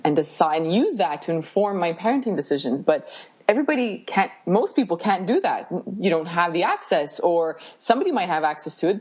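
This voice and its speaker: A woman neuroscientist guest explaining her background in a lively, eager way, often laughing. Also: fairly low pitch, dull audio. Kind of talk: casual interview explanations.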